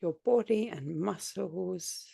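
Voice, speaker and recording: A woman guiding a yoga nidra relaxation, speaking soothingly in short, unhurried phrases with long pauses between them. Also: fairly low pitch, creaky, mellow, husky, dry recording, studio quality. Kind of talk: guided meditation, spoken relaxation practice.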